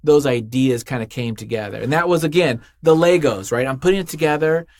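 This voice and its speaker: A man's voice: mid-range voice, a little fast, no echo. Casual rambling man with a dry, close sound. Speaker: writer, interview guest. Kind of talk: casual chat.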